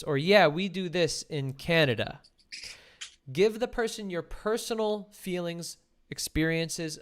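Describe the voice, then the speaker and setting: A man's voice: a little high, fairly bright tone. A man hosting as a relationship coach, directly and encouragingly guiding two guests on how to talk on a date. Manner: encouraging, direct, gives instructions. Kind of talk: coaching speed-dating guests.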